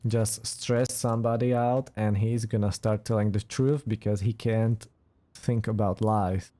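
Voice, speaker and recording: A man giving casual let's play commentary as a gaming streamer, with relaxed, wry asides. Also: fairly low pitch, unhurried. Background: game music.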